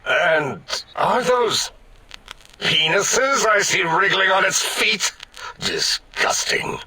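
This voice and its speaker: A man voicing an irritable, bombastic mad-scientist brain in a machine for a video game, over-enunciating with indignation and a harsh rasp. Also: high-pitched, very animated, extremely slow, bright, forceful tone, full character voice, low-quality recording. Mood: disgusted, baffled. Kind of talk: theatrical comic video game acting.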